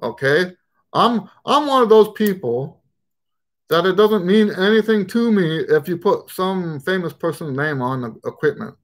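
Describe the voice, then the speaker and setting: A man's voice: high-pitched, lively intonation, unhurried. A middle-aged man, a trumpet teacher, answering questions in a casual live stream from his living room on a webcam, rambling and restarting his sentences. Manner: rambling, frequent restarts and stammered repeats. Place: living room at home, indoors. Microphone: webcam at desk distance.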